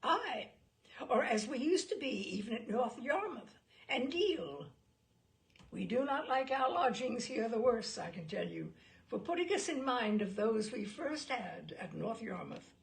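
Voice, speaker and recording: A woman's voice: on the high side, big pitch swings, a little slow, gravelly, heavily acted. A woman voicing a bluff, hearty, chatty older male admiral in an audiobook, blustery and rambling with emphatic delivery. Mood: cheerful, cosy. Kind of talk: audiobook character dialogue.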